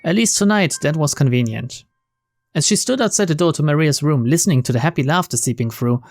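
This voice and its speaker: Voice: mid-range voice, expressive, fairly quick, darkish tone, no room sound. A let's player reading visual novel narration aloud, breaking off for informal, sweary asides. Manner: sweary, informal reactions between read lines.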